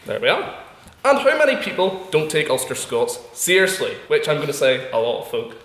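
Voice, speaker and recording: A man's voice: fairly high pitch, wildly swooping pitch, fairly quick, fairly bright tone. Emphatic young Northern Irish man debating. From Northern Ireland. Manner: emphatic, combative, rhetorical questions. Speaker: student debate speaker. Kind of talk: impassioned debate speech. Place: university debating chamber. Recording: echoey debating hall.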